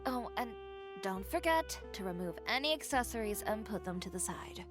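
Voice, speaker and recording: A woman acts a self-assured young designer in a video game. The voice sits at a middling pitch, composed and curt, with faint music behind it. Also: animated, normal speed, lightly acted. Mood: businesslike. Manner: composed, curt, matter-of-fact.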